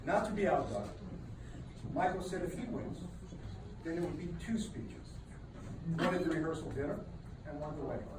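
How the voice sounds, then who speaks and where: A man's voice: a little high, expressive, normal speed, long pauses. A man giving a humorous wedding rehearsal dinner speech, telling dry, self-deprecating jokes to a laughing crowd. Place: large banquet room with a crowd. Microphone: no microphone, recorded from across the room. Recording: echoey room, distant, loud crowd noise.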